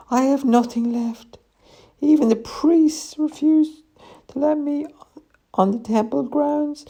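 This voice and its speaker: A frail old beggar woman, acted out by a man, pleads in a very high, thin voice. She sounds tearful and humble, like a poor soul in a storybook tale. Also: gravelly, mellow, full character voice.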